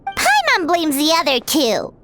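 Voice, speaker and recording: A woman voicing a tiny, excitable, childlike fairy companion with a squeaky voice in a video game cutscene. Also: measured pace, noisy background, exaggerated voice. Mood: excited, concerned. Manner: refers to self in third person, exclaiming.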